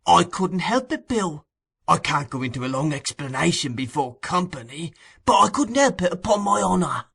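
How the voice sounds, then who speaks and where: A man's voice: very animated, normal speed, creaky, fairly bright tone, husky, heavily acted. A man putting on a whiny, fawning old crook. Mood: pleading. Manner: high pleading whine. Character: wheedling old male criminal ringleader, fawning and sly.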